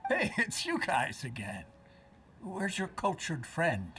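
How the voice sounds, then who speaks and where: A man's voice: fairly high pitch, huge pitch swings, slow pace, bright, forceful tone, far from the mic, slight background noise, heavily acted. An actor playing a casual, blunt market produce seller in a video game, a man with a salesman's manner. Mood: friendly. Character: friendly but sceptical produce stall merchant. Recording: game audio with music behind.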